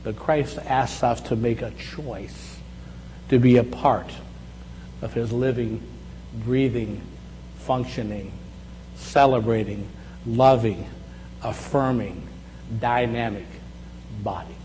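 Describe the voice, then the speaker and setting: A man in his sixties delivers a sermon in an easy, reflective way, slowing into deliberate pauses for emphasis. His voice sits at an ordinary pitch and carries the long reverb of a large hall, over a faint steady hum. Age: 60s. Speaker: pastor.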